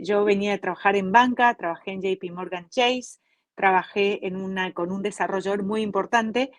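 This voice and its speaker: A middle-aged woman with an Argentine Spanish accent speaks fluently and without fuss, like a co-founder walking someone through how her company works. Her voice is close and dry, and it sits at an ordinary pitch for a woman. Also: no room sound. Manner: fluent, businesslike storytelling with brief fillers. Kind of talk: online interview.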